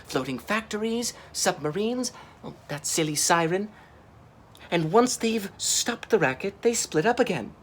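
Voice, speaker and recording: A man acts out a plucky female dinosaur from a children's storybook. She is clever and caring, speaking earnestly in a lifted, expressive voice. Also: high-pitched, measured pace, slight background noise, pitched above the performer's own voice. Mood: explaining. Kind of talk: children's storybook character reading.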